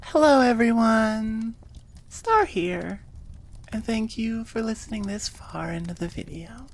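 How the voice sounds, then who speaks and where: A woman's voice: on the high side, expressive, slow pace. A woman who creates audio roleplays, talking casually and directly to her listeners.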